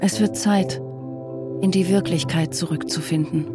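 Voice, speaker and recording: A woman's voice: fairly low pitch, fairly even intonation, a little slow, music in the background, lightly acted. An actress playing a troubled, mistrustful wife in a naturalistic radio drama, restrained and intimate in her delivery. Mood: pensive narration.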